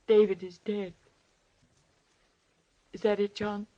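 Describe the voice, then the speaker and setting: A woman's voice: medium pitch, little pitch movement, slow pace, dark tone, lo-fi sound, natural voice. An actress playing an anguished, stubborn mother, insistent and melodramatic, in 1940s radio drama style. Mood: dread, pleading. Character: anguished, stubborn mother desperate for her son.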